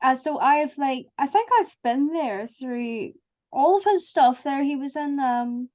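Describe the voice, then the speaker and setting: Female podcast guest, fairly high voice, casual, emphatic and gushing conversational delivery. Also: unhurried, darkish tone. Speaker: podcast guest, producer and director. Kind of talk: casual chat.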